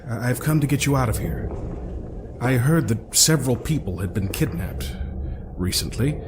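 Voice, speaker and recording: A man's voice: mid-range voice, noisy background, close to an everyday voice. A man playing a witty, fussy, eccentric scientist-adventurer in an audio drama, chatty and theatrical with mock-formal asides.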